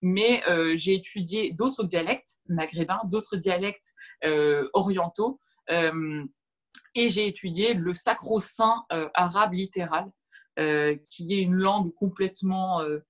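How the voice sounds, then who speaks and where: A woman's voice: fairly low pitch, slow pace. Woman on a video call, thinking aloud. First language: Arabic. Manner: reflective, thinks aloud with many fillers and restarts; gestures while talking. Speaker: interview guest. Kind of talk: casual video-call interview. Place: room at home with shelves. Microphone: video call webcam.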